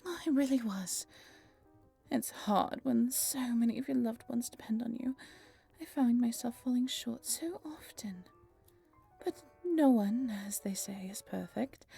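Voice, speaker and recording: Woman playing a syrupy vampire mistress, husky and lilting. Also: fairly high pitch, a little slow, warm tone, faint music behind, voice pushed up, heavily acted. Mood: sweetly self-satisfied. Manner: saccharine, theatrical, self-pitying. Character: genteel, possessive female vampire mistress with human pets. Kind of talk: scripted roleplay audio drama.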